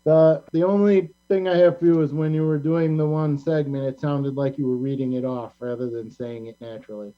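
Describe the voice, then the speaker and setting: A man's voice: on the high side, fairly even intonation, brisk, few pauses. A man hosting a casual group chat with his cast, encouraging in manner, over an online call with humming, lo-fi sound. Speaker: show host and director. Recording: dull lo-fi call with mains hum.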